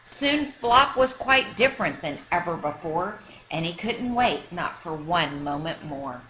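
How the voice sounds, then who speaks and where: Lady reading a picture book to little kids, super expressive, pitch goes all over, bit of background noise. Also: unhurried, rough recording. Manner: animated, dramatic storytelling to young children. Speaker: teacher reading aloud. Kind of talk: expressive children's picture book read-aloud.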